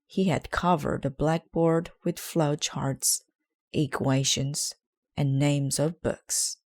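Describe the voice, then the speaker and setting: A woman narrating a children's book aloud in a measured, clearly phrased way. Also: deep voice, fairly even intonation, a little mellow. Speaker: storybook reader.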